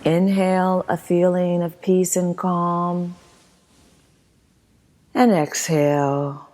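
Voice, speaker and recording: A woman leading a guided meditation as instructor, reading in a soothing, measured manner with long pauses. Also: fairly low pitch, dark tone. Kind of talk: guided meditation, scripted narration. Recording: long hall-like reverb.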